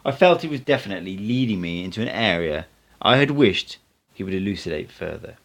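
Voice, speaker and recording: A man's voice: sits low, sing-song, fairly quick. A man reading a book aloud as scripted narration, as an amateur audiobook reader.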